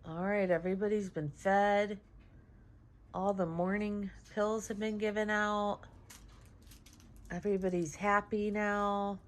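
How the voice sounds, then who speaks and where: A woman's voice: flat delivery, unhurried, long pauses. Casual home vlogger, woman, stuffy nose, sing-song with her pets, easygoing chatter. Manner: high sing-song baby talk to her dogs; chatty, many "um"s. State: congested, nasal. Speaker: vlogger, dog owner. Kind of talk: casual home vlog chat. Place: home, indoors.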